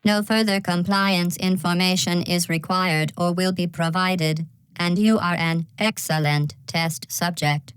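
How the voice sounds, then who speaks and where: A woman playing a deadpan, menacing female artificial intelligence in a video game, measured and falsely courteous, with synthetic robot processing. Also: fairly low pitch, clean tone, no room sound, poor audio. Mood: coldly polite. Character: polite, coldly menacing female artificial intelligence running deadly tests. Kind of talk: scripted video game announcements.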